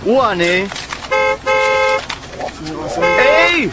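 An actor playing a flustered street policeman in a radio drama, excitable and exclamatory, with a Flemish accent. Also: extremely high pitch, very slow, full character voice. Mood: flustered, bossy. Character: flustered male street policeman shooing tourists. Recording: crowded outdoor street with traffic.

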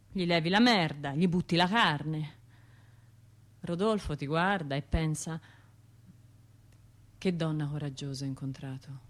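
An actress playing a wounded, bitter bombing survivor in a radio theatre monologue, confiding in colloquial speech. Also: female voice, on the low side, natural voice. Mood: wry, bitter. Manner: colloquial, confiding, wry, shifting between narration and imagined voices.

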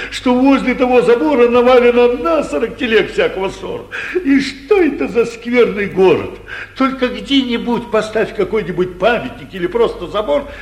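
An actor playing a flustered, blustering, corrupt town governor, commanding and agitated, in a 1940s stage comedy for radio. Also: male voice, fairly high pitch. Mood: exasperated. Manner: commanding, agitated, muttering prayers and curses. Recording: roomy old 1940s radio recording.

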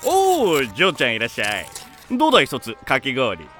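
A man's voice: medium pitch, huge pitch swings, quick pace, sharp tone, soft background music. A man playing a friendly festival stall vendor in an anime audio drama, with hearty sales patter. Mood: welcoming.

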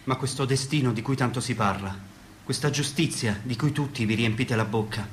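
A man's voice: medium pitch, normal speed, a little hiss or hum, close to an everyday voice. A man acting a sceptical, challenging character in stage dialogue. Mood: sceptical, bitter.

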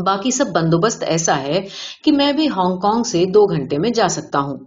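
A woman's voice: fairly low pitch, expressive, natural voice. A woman reading the lines of a boastful, self-satisfied middle-aged businessman with a callous streak in an audiobook. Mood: smug, boastful. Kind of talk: character dialogue in audiobook reading. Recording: dull, lo-fi sound.

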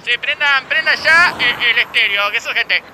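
A man with an Argentine accent playing a muddled, bossy dispatcher in a radio comedy sketch, halting and repetitive over vehicle noise. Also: very high-pitched. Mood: urgent. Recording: noisy vehicle and street ambience.

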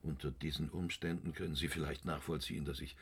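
A man's voice: rumbling low, fairly even intonation, quick pace, slightly rough, full character voice. A man acting a fearful, secretive outgoing opera director who gives formal, urgent warnings in a German radio drama. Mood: grave warning.

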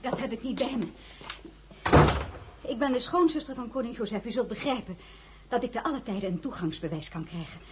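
An actress in a period radio drama playing a determined marshal's wife with an insistent, commanding manner. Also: female voice, a little high, expressive, fairly quick, long pauses, breathy edge. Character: determined, high-born married woman, marshal's wife. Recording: noisy radio drama recording.

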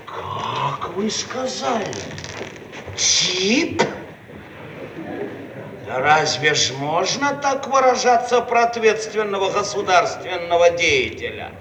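High-voiced, pompous Soviet bureaucrat. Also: male voice, very animated, slow pace, crowd noise, full character voice. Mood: indignant. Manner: pompous, hesitant, bureaucratic phrasing. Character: pompous, self-satisfied male Soviet bureaucrat, offended and officious. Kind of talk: theatrical Soviet radio comedy acting. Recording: echoey, distant archive radio recording, faint noise.

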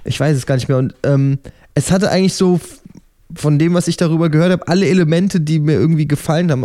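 A man's voice: fairly high pitch, mellow, no echo. A German man in a casual podcast chat, talking in a hesitant, musing way. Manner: hesitant, musing, self-questioning. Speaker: podcast co-host.

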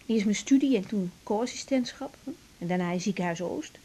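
A woman's voice: mid-range voice, normal speed, warm tone, lightly acted. An actress playing a troubled young woman doctor, anxious yet affectionate, in an intimate, naturalistic 1980s radio drama. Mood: confiding, wry. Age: young adult. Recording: 1980s radio drama, faint background noise.

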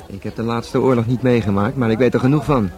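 An actor playing a young, hot-headed, cynical police detective in a radio play, argumentative and sarcastic. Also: male voice, medium pitch, steady tone, fast talker, a little mellow, close to an everyday voice. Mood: agitated.

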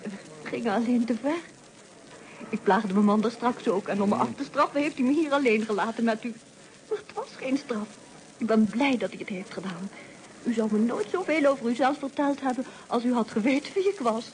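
Radio play casting: actress as middle-aged wife, fond and playful, fairly high voice, faint recording noise. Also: female voice, animated, a little fast, darkish tone, natural voice. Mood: sincere, fond. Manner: candid, teasing, affectionate. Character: affectionate, mischievous married woman, former school troublemaker. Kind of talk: radio drama acting. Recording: slightly noisy old radio play.

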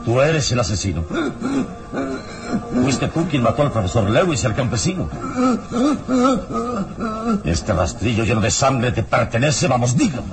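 An actor playing a calm, authoritative heroic adventurer in a radio serial, speaking deliberately. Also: male voice, medium pitch. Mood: accusing. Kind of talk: old radio serial acting. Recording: old radio serial with music. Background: dramatic music.

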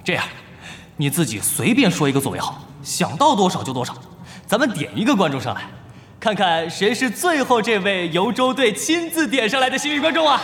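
A man's voice: high-pitched, very animated, fairly quick, slight background noise. A man playing a flustered young event host in a radio drama, polite and coaxing, with hesitant announcing. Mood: upbeat announcing. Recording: echoey arena reverb over loud music.

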